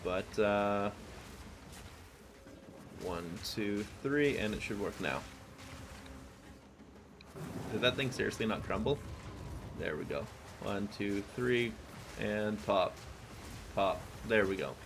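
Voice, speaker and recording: A man with a somewhat low voice talks with his stream chat as he plays, in a relaxed, rambling way. A faint hum of ambience sits under the voice. He drifts between musings and short remarks about the game. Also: slow, long pauses. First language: English. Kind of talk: casual chat while gaming.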